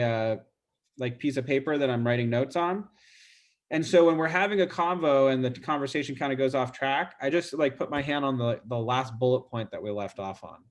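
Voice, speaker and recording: A man with a stuffy nose talks through a real estate call script over a video call. His pitch is mid-range, and his delivery is chatty and informal, full of ums and restarts. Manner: casual, chatty, many fillers. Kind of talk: role-play training demo.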